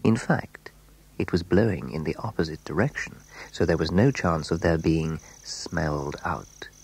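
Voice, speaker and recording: A man narrating a scripted children's story in a measured, dramatic way, letting phrase endings fall hushed. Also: sits low, husky.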